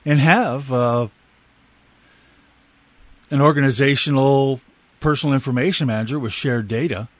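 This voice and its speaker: A male talk radio host with a slight Canadian accent speaks conversationally, as an interviewer would. His mid-pitched voice is recorded close and dry, with no room sound. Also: moderate pace, pauses often, dry recording.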